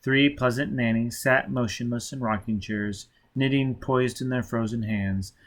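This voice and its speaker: A male audiobook reader with a medium-pitched voice. He delivers scripted fiction narration as a storyteller. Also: steady tone, unhurried.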